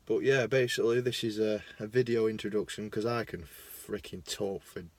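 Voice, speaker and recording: A young man with a Lancashire accent, rambling casually into his phone in a parked car, self-mocking, jumping between loud bursts and mumbled asides. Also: on the low side, fairly even intonation, normal speed, no echo. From Lancashire, England. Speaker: vlogger.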